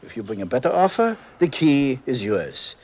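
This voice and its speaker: An actor playing a sly, greedy broker with a German accent in a 1950s radio drama, suave, smug and formal. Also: male voice, mid-range voice, big pitch swings, moderate pace, a little mellow, a little hiss or hum, close to an everyday voice. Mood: amused, oily.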